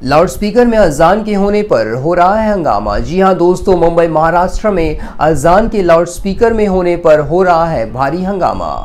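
A man's voice: sits high, expressive, normal speed, hardly any pauses, darkish tone, faint music behind. A man presents a scripted news explainer, addressing viewers directly in a formal way. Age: 20s.

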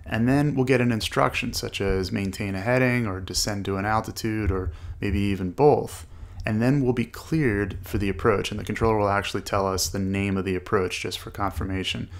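A man's voice: fairly low pitch, brisk. A man narrating an explanatory flight tutorial as an instructor, walking through each step. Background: small-plane cockpit drone from a flight simulator.

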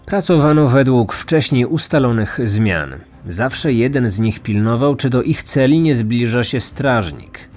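A man hosts a true crime audio series, reading scripted narration. His voice sits at a medium pitch, with faint music playing behind it. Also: animated, unhurried, a little mellow. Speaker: podcast host and narrator.

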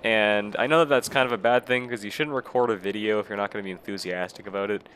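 A man's voice: lowish voice, fast, fairly bright tone, a little hiss or hum. A man with a nasal voice from a cold, giving rambling, casual gameplay commentary as a let's play host. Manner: rambling, casual, self-deprecating. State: recovering from a cold.

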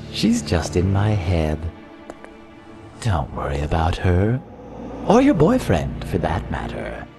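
Creepy slow gravelly villain. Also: male voice, low-pitched, wildly swooping pitch, heavily acted. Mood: taunting menace. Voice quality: gravelly, breaking into whispers. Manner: slow, taunting, theatrical. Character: menacing mocking male villain, sinister and taunting. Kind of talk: audio drama acting. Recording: loud music under the voice.

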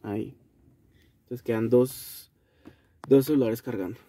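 A young Colombian man giving a casual, halting vlog review in an informal way, recorded inside a car. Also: mid-range voice, steady tone, mellow. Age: young adult. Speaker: video host reviewing a gadget. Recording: noisy car-cabin recording with clicks.

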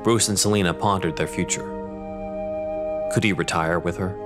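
A man's voice: sits low, steady tone, moderate pace, over loud music. A man narrating an audio drama in a scripted, dramatic style.

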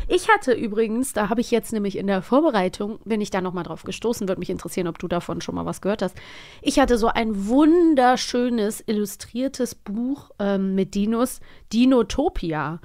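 A woman's voice: medium pitch, lively intonation, normal speed, a little mellow. A woman in her thirties co-hosting a podcast in casual, chatty German conversation, talking with many fillers. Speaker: podcast co-host. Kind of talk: casual chat.

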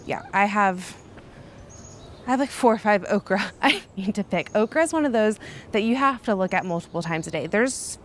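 A woman's voice: medium pitch, expressive, normal speed, a little hiss or hum. Chatty young woman touring her garden. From Kansas. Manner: enthusiastic, chatty, exclaims often. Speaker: garden vlogger. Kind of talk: casual garden tour. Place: outdoor backyard garden. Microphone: handheld phone camera.

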